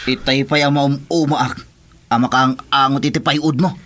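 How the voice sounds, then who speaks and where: A man's voice: medium pitch, steady tone, moderate pace, a bit bright, lightly acted. An actor with an Ilocano accent playing a complaining henchman of a gambling boss in a radio drama. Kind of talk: Filipino radio drama acting.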